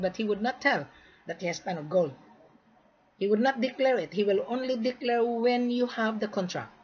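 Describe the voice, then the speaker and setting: Woman from the Philippines, husky voice, repetitive lecturing sales pitch, dull lo-fi recording. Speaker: gold sales marketer. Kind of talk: direct-to-camera sales pitch.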